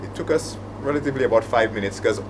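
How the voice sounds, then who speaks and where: A man's voice: medium pitch, quick pace, noisy background. A middle-aged man with a Saint Lucian accent, talking reflectively and conversationally as a panelist. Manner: conversational, anecdotal. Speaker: panelist, aviation operations manager. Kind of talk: reflective panel talk with anecdotes.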